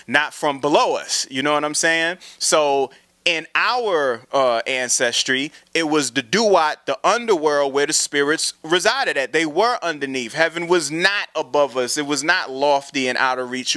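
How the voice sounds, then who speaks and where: A man's voice: on the high side, bright, forceful tone, dry recording. A man giving a casual, rambling lecture on film symbolism, often checking in with his listeners. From family from Saint Croix and Guyana. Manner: preachy, rambling, asks "you know what I'm saying". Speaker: presenter of a talk show segment.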